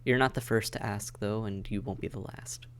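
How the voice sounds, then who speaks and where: A man's voice: fairly low pitch, steady tone, moderate pace, a bit bright, slight background noise, close to an everyday voice. A man playing a weary vampire intake officer in an audio roleplay, matter-of-fact and bureaucratic, with dry hesitation, speaking straight to the listener. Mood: dry, resigned. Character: weary, businesslike male vampire intake officer mentoring newcomers. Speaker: medical examiner and caseworker.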